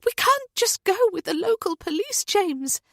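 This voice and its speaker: A woman's voice: extremely high pitch, sing-song, measured pace, creaky, dry recording, clean studio sound, pitched above the performer's own voice, full character voice. A woman voicing an anxious young woman, speaking in frantic, urgent bursts. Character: anxious young woman, panicking over missing partner.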